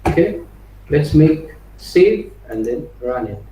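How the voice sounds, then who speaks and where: A man teaching an online coding workshop, talking his way through live code with frequent "right?" and "you know" fillers, restarting phrases as he types and reading code strings out slowly. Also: on the high side, big pitch swings, dark tone, recorded from a distance. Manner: restarts and repeats words while typing. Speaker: workshop instructor. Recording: roomy, off-mic with faint background noise.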